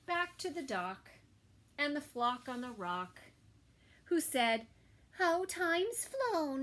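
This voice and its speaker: Slow, very animated woman reading to toddlers. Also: on the high side, vocal fry, slight background noise. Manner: dramatic, with hushed asides. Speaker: children's story time reader. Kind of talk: expressive picture-book read-aloud for toddlers.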